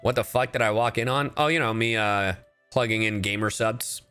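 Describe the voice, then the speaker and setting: A man livestreaming a game, chatting casually and goofily with his audience in an animated way. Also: fairly low pitch, normal speed, smooth, soft background music. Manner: animated, goofy, addresses chat directly. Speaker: livestreamer, VTuber. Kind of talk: casual livestream chat while gaming.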